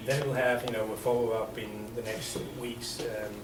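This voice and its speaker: A man's voice: lowish voice, level tone, normal speed. A man with a Swiss accent, a developer presenting to a city workshop, hesitant with many ums and restarts. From Switzerland. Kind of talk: presentation at a public meeting. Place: council chamber. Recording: echoey meeting room from far off, noisy.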